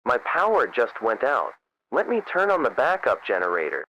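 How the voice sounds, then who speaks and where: A man's voice: mid-range voice, huge pitch swings, moderate pace, very noisy. A man playing a local radio host in a found-footage drama, talking informally and offhand on a live broadcast. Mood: casual, uneasy. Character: casual male local radio host during hurricane.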